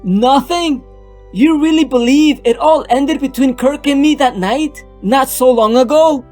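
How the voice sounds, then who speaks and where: A man voicing a devoted, strong-willed young bride with halting, melodramatic delivery in a comic-book dub. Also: very high voice, darkish tone, voice pushed up. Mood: defiant. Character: Francine, devoted, defiant young female bride. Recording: music bed under the voice.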